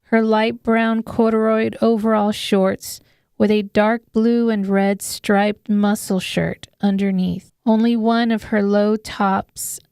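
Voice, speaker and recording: Slow, smooth woman narrating dark fiction. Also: mid-range voice, no room sound, clean studio sound. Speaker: audiobook narrator. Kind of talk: scripted dramatic story narration.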